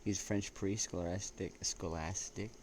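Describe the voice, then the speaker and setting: A man hosting a casual story-time vlog, rambling in a laid-back, halting way and often checking in with the listener. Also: deep voice, fairly even intonation, darkish tone. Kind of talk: rambling casual chat with read-out quotes. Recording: clearly noisy recording with ambient sound.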